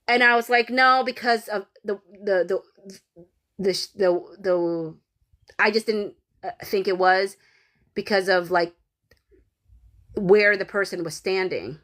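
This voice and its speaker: A woman's voice: mid-range voice, slow, long pauses, a bit bright. A woman with a Filipino accent, perhaps in her thirties, hosting a casual livestream, reading viewer comments and rambling with many fillers and restarts. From Philippines. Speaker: livestream host reading chat.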